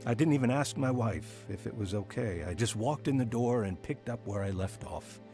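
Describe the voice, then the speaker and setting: A man's voice: medium pitch, lively intonation, normal speed, music in the background, lightly acted. An actor playing a proud, remorseful husband and father who tells his own story in an audio drama. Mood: reflective narration. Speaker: lead actor and first-person narrator. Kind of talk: dramatised testimony radio drama.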